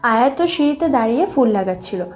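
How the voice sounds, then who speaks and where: High-voiced woman narrating a romance. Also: expressive, fast, darkish tone, faint music behind. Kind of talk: scripted romantic story narration.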